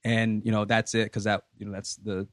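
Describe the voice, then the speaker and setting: A man in his thirties hosting a podcast, leading a casual group discussion in a relaxed, rambling way with many fillers. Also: lowish voice, moderate pace, no room sound, clean studio sound. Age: 30s. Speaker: podcast host.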